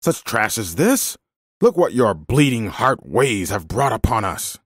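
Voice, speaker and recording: A man's voice: deep voice, sing-song, normal speed, dry recording, clean studio sound. A man voicing an angry, bullying, miserly vineyard owner who rants scornfully in a dramatised story. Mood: scornful anger. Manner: ranting, scornful.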